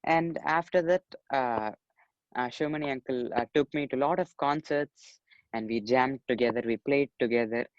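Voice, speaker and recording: A man's voice: mid-range voice, moderate pace. A polite, earnest young man from Chennai, still in his teens, talking through a muffled, dry recording with no room echo. He keeps dropping in "you know" as he recounts his story. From Chennai, India. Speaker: young actor and musician, interview guest. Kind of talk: casual interview.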